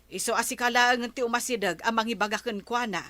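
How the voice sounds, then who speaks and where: A woman's voice: fairly high pitch, fairly even intonation, fast talker, bright, forceful tone, dry recording. A woman playing a complaining villager in a radio comedy drama, with an Ilocano accent.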